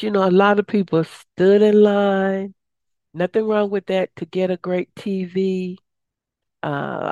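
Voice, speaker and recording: A woman hosting a radio show, her voice raspy and hoarse from days of talking, chatty and upbeat. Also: a little mellow, no room sound, muffled recording. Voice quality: raspy, deeper than usual. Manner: chatty, upbeat, promotional, with many uh fillers. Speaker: radio show host and success coach. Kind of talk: casual radio talk.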